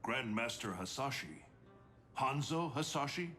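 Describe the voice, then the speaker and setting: A man playing a solemn, formal, wise god in a video game, speaking slowly and with weight. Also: mid-range voice, lively intonation, sharp tone. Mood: concerned, solemn. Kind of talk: cinematic video game acting. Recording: music under the dialogue.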